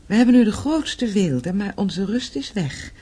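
An actress in a radio play playing a thoughtful, foreboding young daughter of a wealthy family, earnest and wistful. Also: female voice, mid-range voice, sing-song, a little slow, dark tone, breathy edge. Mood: wistful, worried. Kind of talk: Dutch radio play acting.

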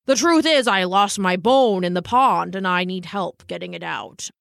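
A woman's voice: fairly low pitch, moderate pace, creaky, fairly bright tone, no echo, studio quality. A woman voicing a humble, earnest old male dog in a storybook, speaking hesitantly and sincerely. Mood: sheepish. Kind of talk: storybook character voice.

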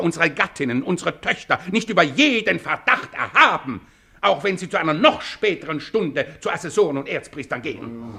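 An actor playing a pompous, sanctimonious defence advocate in a radio play, orating floridly in with theatrical hushes and swells. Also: male voice, fairly high pitch, creaky, breathy edge, full character voice. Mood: outraged. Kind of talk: florid courtroom oratory in a German radio play.

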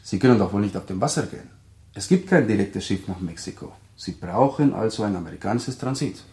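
A man acting a brisk, dryly ironic consular official, formal and instructing, in a German radio drama. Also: lowish voice, expressive, darkish tone, echoey room.

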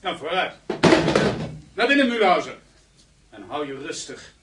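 An actor playing a sly, threatening henchman in a radio drama, brisk and controlling. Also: a little high, very animated, over music. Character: sly, threatening male henchman posing as policeman.

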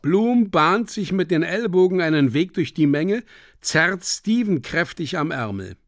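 An actor playing a theatrical narrator in a literary radio play, deliberate and emphatic, relishing grotesque detail. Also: male voice, a little high, sing-song, no room sound, studio quality.

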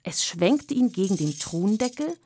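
A woman's voice: medium pitch, sing-song, moderate pace, mellow, breathy edge. A woman narrator reading a scripted children's story in a radio play.

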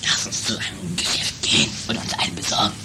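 A woman playing a shady, jittery male tramp and jailbreaker with a hoarse, whispery voice in an improvised audio drama. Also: very deep voice, fast talker. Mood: jittery, scheming. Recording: noisy home-made recording.

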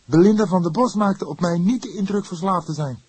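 A man voicing a younger male detective in an audiobook, excitable, emphatic and sceptical. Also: high-pitched, brisk, husky, no echo, voice pushed up. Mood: doubtful. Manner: incredulous, emphatic.

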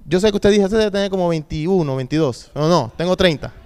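Male youth pastor, thirties, high smooth voice, preaching with repetition and call-and-response. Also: fairly quick, darkish tone. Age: 30s. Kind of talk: sermon.

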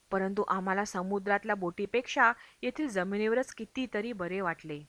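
A woman's voice: mid-range voice, pushed and bright, no room sound. A woman reading a travel memoir aloud as scripted audiobook narration. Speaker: audiobook reader.

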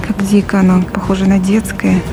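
A woman's voice: mid-range voice, completely flat delivery, brisk, noisy background, close to an everyday voice. A woman playing a terrified pregnant village mother, dazed with dread, in an audio drama monologue. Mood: terror. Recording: music behind the voice.

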